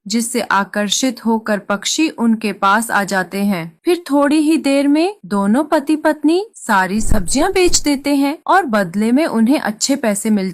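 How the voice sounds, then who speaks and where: High-voiced woman narrating a cartoon story. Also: no echo, clean studio sound.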